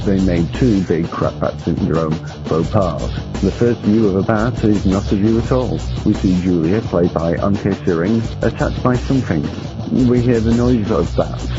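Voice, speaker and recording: A man narrating a scripted film review with dry, wry, formal phrasing. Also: on the low side. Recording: muffled lo-fi recording. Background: guitar music.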